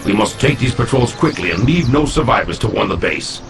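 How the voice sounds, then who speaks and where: A stern, ruthless alien commander briefs his squad tersely in a game. The voice is fairly low and gravelly, with strong music behind it. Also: lively intonation, brisk. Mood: stern briefing. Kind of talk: scripted game mission briefing.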